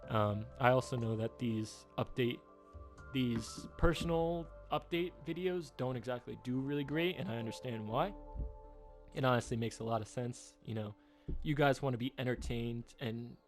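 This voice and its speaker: A man in his twenties rambling through a personal update, halting with many fillers and restarts, subdued by a rough time. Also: medium pitch. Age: 20s. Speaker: YouTuber.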